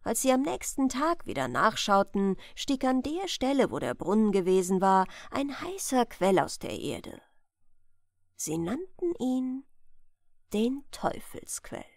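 A woman narrates a bedtime fairy tale in a fairly high voice. Her delivery is slow and dramatic, with lively swings in pitch. The sound is close and dry. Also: creaky, no room sound. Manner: expressive, dramatic storytelling. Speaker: audiobook narrator.

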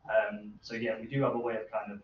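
Distant, low-voiced man in a roomy space. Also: little pitch movement, quick pace. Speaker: researcher presenting at a seminar. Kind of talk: informal technical seminar Q&A. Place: medium seminar room with hard walls. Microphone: distant camera microphone. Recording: roomy, distant, muffled, noisy room recording.

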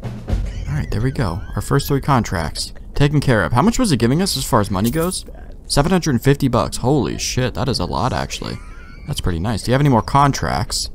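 A man's voice: mid-range voice, big pitch swings, brisk, slight background noise. A man doing casual let's play commentary, thinking aloud informally and swearing here and there. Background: video game ambience.

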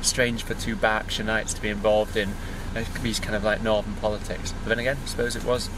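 A man's voice: fairly low pitch, little pitch movement, brisk. English man vlogging over background noise. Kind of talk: casual vlog chat. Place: outdoors on country lanes, or inside a parked car. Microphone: handheld camera at arm's length. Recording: background noise outdoors and in car.

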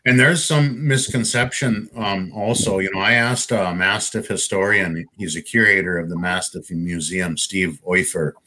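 A man's voice: deep voice, moderate pace, few pauses, sharp tone, slight background noise, rough recording. A middle-aged man, a guest mastiff breeder, talking casually on a video call and thinking aloud with many ums. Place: living room indoors.

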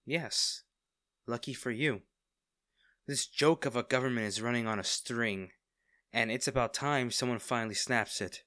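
A man playing a smug, teasing villain with hidden fondness for a captive hero, in an intimate audio roleplay, coy and full of drawn-out pauses. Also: medium pitch, sharp tone, no echo, studio quality, natural voice. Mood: scornful.

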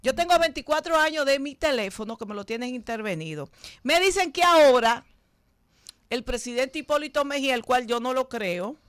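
A woman's voice: sits high, expressive, moderate pace, no room sound. A woman in her fifties with a Dominican accent, hosting a late-night studio talk show, brash and profane. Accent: Dominican Spanish. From Dominican Republic. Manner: brash, profane and scolding, loud bursts. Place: TV studio set. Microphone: desk mic on stand.

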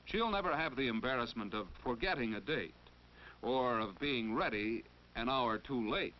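A male narrator from a 1940s instructional film, didactic and full of instructive questions. His pitch swings expressively through a muffled soundtrack with faint noise. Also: animated, moderate pace.

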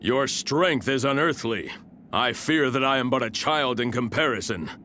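Guy doing humble loyal soldier in a video game, solemn and deferential, sharp tone, faint noise behind. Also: mid-range voice, moderate pace, close to an everyday voice. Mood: humble, admiring. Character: earnest, humble male warrior officer. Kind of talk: video game character voice lines.

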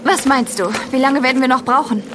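An actress as a sharp-tongued woman climber. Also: high-pitched, fairly quick, heavy background noise, natural voice. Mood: teasing. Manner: teasing, then panicked.

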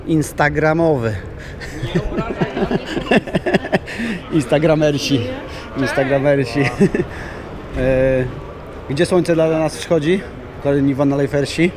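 A hoarse man slowly walking through a big indoor exhibition hall, giving casual chuckling commentary for a video. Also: a little high, noisy background. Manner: slow, rambling, chuckling asides. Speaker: vlogger touring a trade show.

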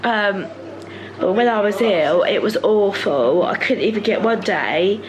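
A young woman from the UK with a croaky voice from a cold, talking to camera at home late at night in a rambling, confiding way. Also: medium pitch, lively intonation, unhurried, crowd noise, rough recording. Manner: rambling, confiding, many fillers like "like". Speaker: family vlogger, mother. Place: home living room, sofa, indoors at night. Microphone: handheld camera held close to her face.

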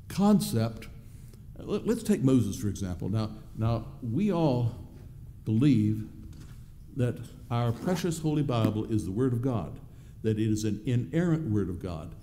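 Older preacher, very mellow voice. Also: male voice, mid-range voice, slight background noise. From Southern United States. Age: 60s. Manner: halting, deliberate, with repeated words and pauses. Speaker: pastor preaching. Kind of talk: sermon, explanatory. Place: church sanctuary, at the pulpit.

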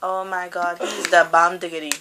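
A woman's voice: lowish voice, little pitch movement, moderate pace, bright, forceful tone. A woman from Trinidad with a Trinidadian accent, chatting playfully with her nephew in a dim bedroom, picked up by a laptop mic across the room. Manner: playful, teasing, sing-song. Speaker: aunt of the vlogger. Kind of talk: casual family chat on a home vlog. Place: small dim bedroom, indoors. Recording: noisy home webcam recording.

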